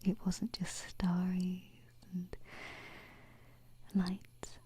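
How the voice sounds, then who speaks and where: A gentle alien princess, played by a woman in an ASMR roleplay, whispering very breathily, right up at the microphone. Her delivery is slow and hesitant, with a dark, soft tone that is tender, wistful and lightly teasing. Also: lowish voice, completely flat delivery, husky, exaggerated voice. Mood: soft, musing. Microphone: close binaural microphone.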